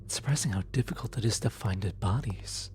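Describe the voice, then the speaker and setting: A performer playing a gentle, awkward demon soul retriever in an ASMR audio roleplay, speaking softly and hesitantly, often close to a whisper. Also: mid-range voice, slight background noise, lightly acted. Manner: hesitant, halting, sighing, muttering to self.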